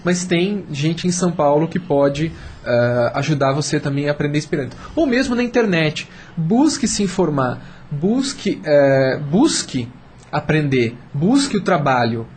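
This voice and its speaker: A man's voice: on the high side, big pitch swings, unhurried, darkish tone. A man with a Brazilian accent speaking as a radio panel guest, explaining and urging emphatically, repeating phrases to build his point. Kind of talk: persuasive, explanatory discussion. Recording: clear background noise.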